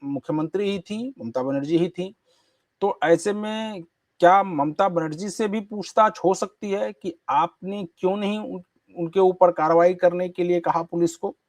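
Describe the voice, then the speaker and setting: A man hosts a news interview in a high voice with a dry sound. He builds long questions, repeating phrases as he frames them. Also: measured pace, dry recording.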